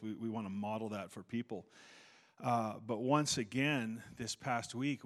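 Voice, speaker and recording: A middle-aged male pastor preaching a conversational sermon in church, self-deprecating and warm-humored, with frequent restarts. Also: fairly low pitch.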